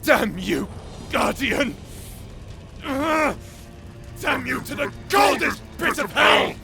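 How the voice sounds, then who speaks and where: A man playing a proud, tormented captor under a curse in a dark fantasy audio roleplay, with a theatrical, anguished and imperious delivery. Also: very high voice, big pitch swings, very slow, creaky, over music, heavily acted. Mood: furious.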